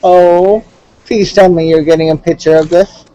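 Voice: medium pitch, steady tone, moderate pace, mellow, no echo, dull audio. A person chatting casually on a video call, gushing playfully and stumbling over words now and then. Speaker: virtual tour participant.

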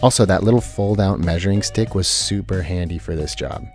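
A man narrating his own home renovation project and walking through each step plainly and calmly. He talks in a relaxed, casual vlog manner, partly on site outdoors. Soft background music sits under his voice. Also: low voice, a little fast. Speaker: host of a home renovation channel.

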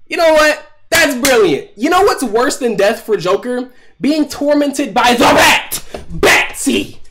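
A man in his twenties giving casual, profane, slangy reaction commentary and reading on-screen text aloud on a noisy recording. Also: high-pitched. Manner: profane, slangy, animated, exclaiming. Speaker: reaction video creator. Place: small room at home.

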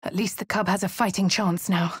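A woman voicing a posh, refined female adventurer in a video game, with a crisp, well-spoken English manner. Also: mid-range voice, steady tone, brisk, no echo, studio quality. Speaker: player character voice lines. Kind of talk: game voice lines and narration.

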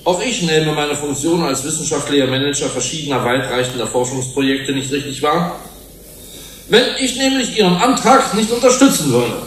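An actor playing a shrewd, combative scientific manager who addresses a tribunal in a pointed, oratorical way in a German radio drama. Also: male voice, on the high side, moderate pace, fairly bright tone, close to an everyday voice. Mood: self-assured. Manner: oratorical, pointed, self-assured. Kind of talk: formal courtroom speech in German radio drama. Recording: roomy hall echo, faint hiss.

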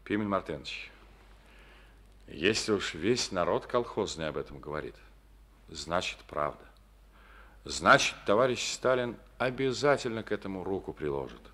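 An actor playing a confident district party secretary who speaks in a measured, assured way, in a Soviet radio drama. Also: male voice, deep voice, fairly bright tone, full character voice.

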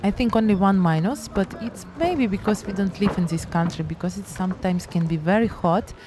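A woman's voice: mid-range voice, brisk, noisy background. A woman in her thirties with a Ukrainian accent, talking in chatty broken English while filming a travel and painting vlog on her phone. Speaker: painting vlogger. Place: beach outdoors and art studio. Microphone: handheld phone camera at arm's length.

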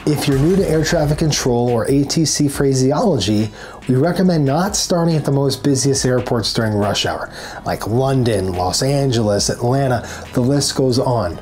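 A man's voice: medium pitch, lively intonation, moderate pace, darkish tone, slight background noise. A man in his forties giving scripted, instructional narration to camera as a tutorial presenter. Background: background music.

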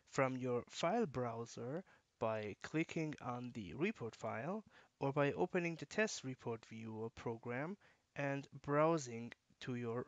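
Measured man narrating a software tutorial. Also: medium pitch, dry recording, lo-fi sound. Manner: measured, instructional. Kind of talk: scripted screencast narration.

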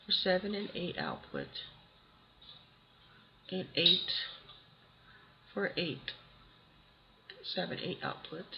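A hoarse speaker with a cold walks viewers through a software tutorial one step at a time, in a relaxed and unhurried way. The voice-over sounds dull and lo-fi, with a faint hiss underneath. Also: medium pitch. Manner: casual, talks through steps one by one. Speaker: tutorial host. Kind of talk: casual screen-recorded software tutorial.

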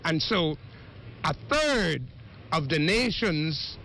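A man interviewing passers-by on the street as a reporter, asking questions slowly and conversationally. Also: fairly high pitch, very animated, creaky, sharp tone. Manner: slow, measured, conversational questioning. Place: outdoors, street. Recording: faint street noise outdoors.

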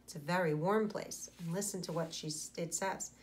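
A woman in her forties, a teacher reading aloud to children and explaining, with deliberate, pausing phrasing. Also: on the low side.

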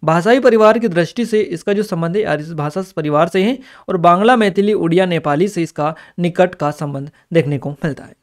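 A man's voice: sits high, fairly quick, hardly any pauses. A man giving an instructive exam-review lesson, reading notes aloud and explaining them as a coaching teacher. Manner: instructive, repeats key points.

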